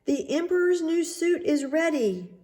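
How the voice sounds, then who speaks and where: A woman voicing a sly, flattering swindler weaver from a storybook, with a gushing, showy delivery. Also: sits high, unhurried, voice pushed up. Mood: excited. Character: sly flattering rogue weavers presenting invisible clothes. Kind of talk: storybook character voice.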